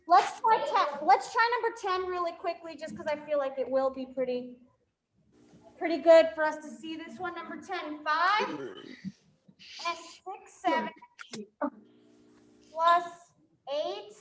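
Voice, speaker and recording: A woman's voice: sits high, slow pace, long pauses, reverberant, slight background noise. A woman with a New York accent teaching a fifth-grade math class, working problems aloud and quizzing her students. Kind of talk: live classroom math lesson.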